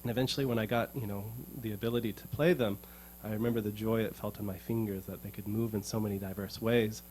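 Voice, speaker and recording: Earnest, rambling man with a lowish voice. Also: steady tone, quick pace, darkish tone, a little hiss or hum, low-quality recording. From United States. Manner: rambling and earnest, repeats words as he thinks. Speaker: guitarist performing for an audience. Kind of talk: casual storytelling between songs. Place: music showroom.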